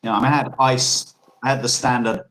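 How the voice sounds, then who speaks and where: A man's voice: mid-range voice, fairly even intonation, fast talker, fairly bright tone, a little hiss or hum, rough recording. Rambling Australian man chatting. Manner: rambling, self-correcting storyteller. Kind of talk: casual chat.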